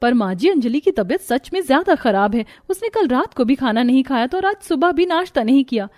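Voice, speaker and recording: A woman's voice: high-pitched, expressive, fairly quick, darkish tone, no room sound, studio quality. A woman voicing a worried, dutiful young daughter-in-law in a cartoon story, speaking politely and pleadingly. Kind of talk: Hindi cartoon moral-story dialogue.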